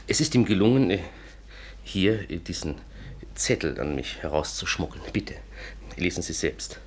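An actor playing an anxious, courteous inventor in a 1950s German radio play, speaking formally and hesitantly in a husky, breathy voice. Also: male voice, deep voice, animated, normal speed, slight background noise. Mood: anxious, grave. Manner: formal, hesitant, repeats a pet phrase.

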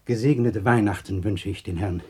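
An actor playing a formal, courteous gentleman caller in a German 1960s radio play, ceremonious and husky. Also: lowish voice, fairly quick. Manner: polite, ceremonious.

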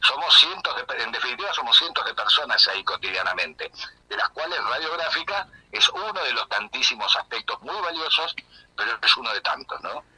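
An older man with a Buenos Aires accent answers as a radio guest in long, conversational turns full of small verbal tags. His voice is middle-pitched and extremely bright, with a forceful, cutting edge. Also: big pitch swings, fairly quick, slightly rough, rough recording. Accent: Buenos Aires Argentine. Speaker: radio interview guest, community radio journalist. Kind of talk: unscripted conversational account.

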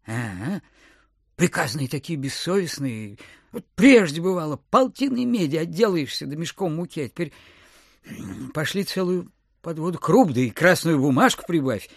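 An actor playing a miserly, suspicious old landowner in an audio drama, muttering and repeating his words in a querulous, husky voice. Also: on the high side, expressive, moderate pace, no echo, studio quality, full character voice. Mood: querulous grumbling. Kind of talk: Russian audio drama acting.

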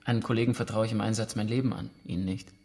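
Ex-soldier contractor played by a man, creaky low voice, wary and cocky, clipped radio drama dialogue. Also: fairly even intonation, rapid-fire, a little mellow, close to an everyday voice. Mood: taunting. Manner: dry, taunting, clipped.

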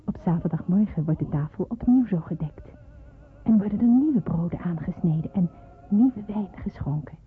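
A woman's voice: fairly low pitch, expressive, moderate pace, soft background music, close to an everyday voice. Woman as earnest schoolgirl narrator, thin phone-like sound. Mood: earnest, content. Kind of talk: scripted first-person narration in a radio drama. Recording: thin phone-like radio drama recording.

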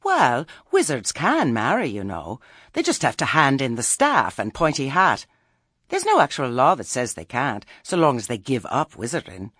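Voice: mid-range voice, wildly swooping pitch, normal speed, fairly bright tone, slightly rough, dry recording, studio quality, pitched above the performer's own voice, heavily acted. A narrator voicing an earthy old witch woman who is mild, placating and a little evasive. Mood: soothing.

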